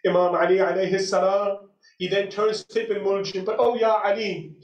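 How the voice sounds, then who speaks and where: Male religious lecturer, very high voice, mournful dramatic storytelling, recorded at a distance in an echoing space. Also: steady tone, moderate pace, dull audio. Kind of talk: emotional sermon, retelling a sacred story. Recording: echoey hall, distant microphone.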